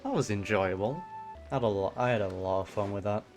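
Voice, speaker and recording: Very deep-voiced woman, casual game commentary. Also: brisk, darkish tone, soft background music. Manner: brief reactions to dialogue. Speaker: game streamer.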